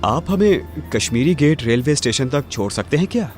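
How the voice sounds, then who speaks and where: A man's voice: mid-range voice, expressive, normal speed, soft background music, close to an everyday voice. A man playing a plain-spoken grown man in a spooky cartoon story, speaking conversational dialogue. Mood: polite.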